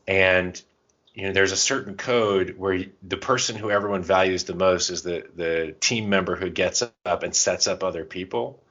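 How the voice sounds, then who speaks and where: A man's voice: low-pitched, steady tone, moderate pace, a bit bright. A man co-hosting a podcast in a casual, talkative way, explaining his advice through examples and anecdotes. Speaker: podcast co-host. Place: podcast recording. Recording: dull, muffled lo-fi sound.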